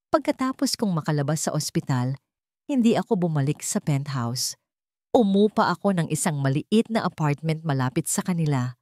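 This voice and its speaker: Casting: female romance storyteller, lowish voice with animated range, sentimental dramatic delivery, dry studio sound. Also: no echo. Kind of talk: dramatic first-person romance story narration.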